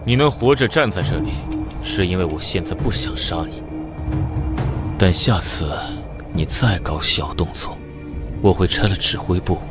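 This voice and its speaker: A very low-voiced male hero commander played by a man in an animated comic drama. He is cool and controlled, and every order or threat carries quiet menace. Loud music plays behind him. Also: moderate pace, natural voice. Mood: cold, threatening. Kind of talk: motion-comic drama dubbing.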